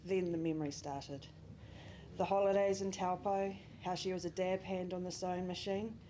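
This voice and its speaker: Young New woman, eulogy reader, low mellow tone, faint noise. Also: fairly even intonation, moderate pace, low-quality recording. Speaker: family friend giving a eulogy. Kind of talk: reading a memorial speech. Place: memorial service venue.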